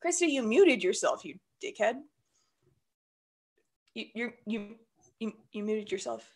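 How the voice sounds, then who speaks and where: A woman with a high voice co-hosts a loose, boozy chat. She banters, swears and rambles, sounding worn out and up well past her bedtime. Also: expressive, unhurried. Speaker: podcast co-host. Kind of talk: casual drunk chat.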